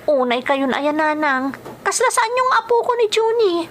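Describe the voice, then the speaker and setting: A woman's voice: extremely high pitch, sing-song, moderate pace, a little hiss or hum. A woman playing an anxious, emotional daughter and mother working abroad, in an Ilocano-accented radio drama. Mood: indignant. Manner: emotional, pleading.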